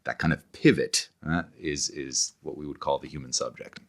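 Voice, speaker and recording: Male interview guest, very deep voice, scholarly explainer who feels his way through phrases and rewords as he goes. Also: steady tone. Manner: halting, searching, scholarly phrasing with repeated self-corrections. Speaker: guest author. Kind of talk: conversational interview explanation of ideas.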